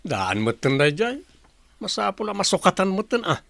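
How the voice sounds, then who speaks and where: Free-spending grown son in an Ilocano radio comedy drama: highish, gravelly, breezy, quick to defend himself. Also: male voice, sing-song, fairly bright tone, no room sound, heavily acted. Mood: defensive.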